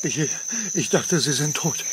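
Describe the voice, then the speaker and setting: Guy acting gruff cowardly survivor in sci-fi audio drama, highish voice, noisy background. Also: a little slow, close to an everyday voice. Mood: frightened, pleading. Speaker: actor in a radio play. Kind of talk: German science fiction radio drama acting.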